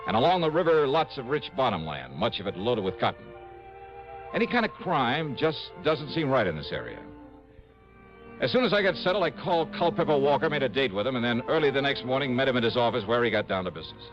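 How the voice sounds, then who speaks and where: A man performs a hardboiled American investigator from a fifties radio play, clipped and wry. His pitch moves around in a lively way. The sound is a dull old broadcast with music clearly behind it. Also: fast talker, natural voice. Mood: brisk narration. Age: 30s. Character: brisk, wry thirty-year-old male freelance insurance investigator. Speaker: lead actor in a radio detective drama. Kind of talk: 1950s radio acting, hardboiled first-person narration.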